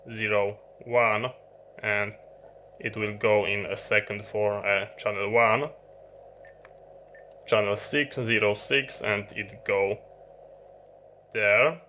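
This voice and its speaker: A man who is a non-native English speaker, giving a halting, unscripted hands-on explanation of a piece of gear as a video host. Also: fairly low pitch, steady tone, sharp tone, a little hiss or hum. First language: not English. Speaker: host of an electronics review channel. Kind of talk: unscripted hands-on product demonstration. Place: indoor electronics workbench.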